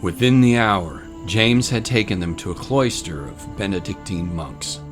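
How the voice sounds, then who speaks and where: A man's voice: low voice, expressive, unhurried, music in the background. A man delivering scripted, dramatic narration of a story.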